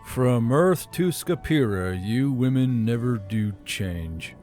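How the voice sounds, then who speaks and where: A man's voice: medium pitch, expressive, slow. A man voicing a wry, modest human adventurer in a fantasy audiobook, casual and questioning. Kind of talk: audiobook character dialogue. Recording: soft music bed behind.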